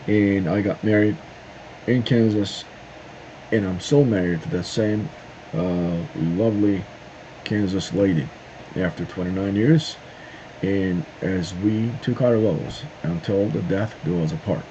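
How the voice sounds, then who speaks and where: A middle-aged man from Turkey, speaking a halting opinion monologue to camera with many pauses and "uh"s. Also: low-pitched, dark tone. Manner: halting, searching for words with frequent "uh" and "um" pauses. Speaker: video host. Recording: dull lo-fi sound with faint noise.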